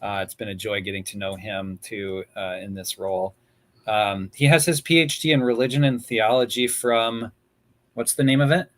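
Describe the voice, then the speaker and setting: A man's voice: lowish voice, fairly even intonation, moderate pace, a bit bright. A man hosting a panel discussion who introduces guests in a friendly, conversational way. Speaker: host and moderator.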